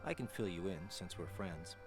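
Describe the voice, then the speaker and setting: An easygoing young man in a video game cutscene. His voice sits fairly low, with a wry, laid-back delivery. Music plays clearly underneath. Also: expressive, normal speed. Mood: casual, wry. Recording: game audio with music behind.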